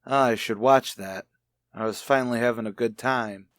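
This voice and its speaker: A man's voice: medium pitch, moderate pace, fairly bright tone, dry recording, studio quality, lightly acted. An actor playing a rich, philandering husband who callously taunts his wife in an old radio mystery drama. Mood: careless taunting.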